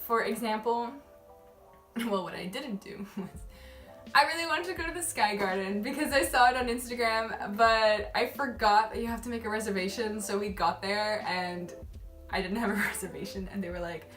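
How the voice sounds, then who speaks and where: A woman's voice: medium pitch, moderate pace, fairly bright tone. A young woman who grew up in Malaysia, chatting casually and rambling to camera as a travel vlogger, often laughing at herself. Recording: echoey room, mic at a distance, faint music.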